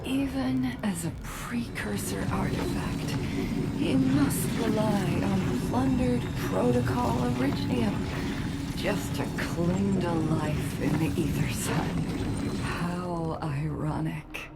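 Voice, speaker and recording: An actress playing a scheming, mocking villainess in a sci-fi fantasy video game, speaking in a measured, ironic way. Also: female voice, medium pitch. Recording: loud music over the voice.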